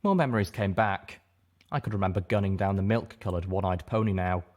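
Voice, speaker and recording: A man with a fairly low voice reads a story aloud. He narrates in the first person, in a dramatic audiobook style. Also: brisk, a little mellow. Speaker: audiobook reader.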